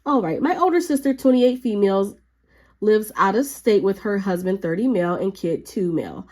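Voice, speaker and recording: Slightly raspy woman chatting while crafting. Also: medium pitch, normal speed. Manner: chatty, relaxed, frequent fillers. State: a little sick, raspy. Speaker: hobby vlogger. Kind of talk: casual crafting chat.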